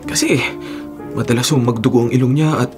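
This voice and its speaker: A man's voice: medium pitch, fairly quick, music in the background, lightly acted. An actor playing a worried, humble working-class father who pleads, in a Philippine radio drama. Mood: pleading.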